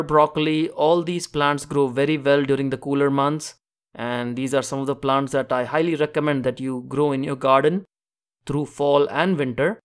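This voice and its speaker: A man's voice: medium pitch, a bit bright, clean tone. A man hosting a gardening show, narrating an instructional tour in an enthusiastic, explanatory way. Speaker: host of a home gardening show.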